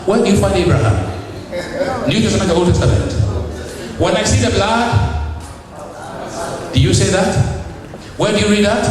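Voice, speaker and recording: A middle-aged man from Ghana with a Ghanaian accent, preaching a sermon in a church and firing rhetorical questions at the congregation. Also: high voice. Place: church hall. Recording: echoey hall, mic far off.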